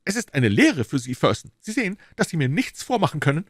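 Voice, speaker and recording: A man's voice: fairly high pitch, big pitch swings, fast talker, slightly rough, dry recording, studio quality, voice pushed up. A man voicing a pompous, self-satisfied police inspector in an audiobook, talking down to others with boastful condescension. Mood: gloating. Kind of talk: audiobook character voice.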